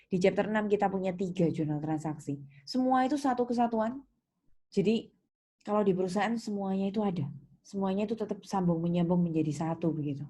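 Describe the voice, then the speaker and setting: A woman teaches in a dark, mellow voice that sits a little low. She explains things informally, like a tutor talking to students. She is patient, repeating and rewording her points as she goes. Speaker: accounting teacher. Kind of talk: explanatory tutorial lecture in casual spoken register.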